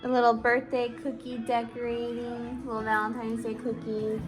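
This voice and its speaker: A woman's voice: on the high side, completely flat delivery, moderate pace. A woman chatting casually as a parent on a family vlog. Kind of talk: casual chat.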